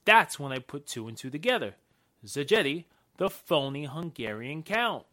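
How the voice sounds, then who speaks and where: Guy doing sharp confident 40s radio play hero, figures things out. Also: a little high, sing-song, normal speed. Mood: explaining. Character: sharp, confident 1940s man who solves puzzles. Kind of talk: 1940s radio drama acting.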